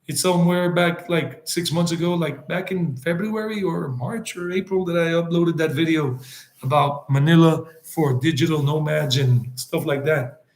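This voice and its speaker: A man talks casually on a live stream, rambling from point to point, answering viewers and dropping Spanish words into his talk. His voice sits a bit high for a man. The room gives it a noticeable echo. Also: moderate pace, darkish tone. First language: English. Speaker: travel vlogger hosting a live stream.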